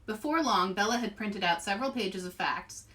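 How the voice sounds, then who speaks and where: A woman reading aloud and demonstrating for children in an animated, expressive way, as a children's author and host. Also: medium pitch, normal speed, far from the mic. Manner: animated, expressive delivery to young listeners.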